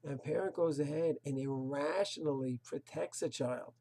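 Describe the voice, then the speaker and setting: A man's voice: on the high side, moderate pace, creaky, dark tone. A man, a rabbi, giving a recorded Torah class, earnest and moralizing, posing emphatic rhetorical questions and dropping in Hebrew and Yiddish terms. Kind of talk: recorded religious lecture.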